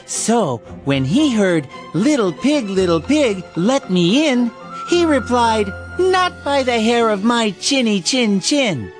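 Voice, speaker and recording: A woman giving scripted rhyming storybook narration in a measured storytelling way. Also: a little high, sing-song, soft background music.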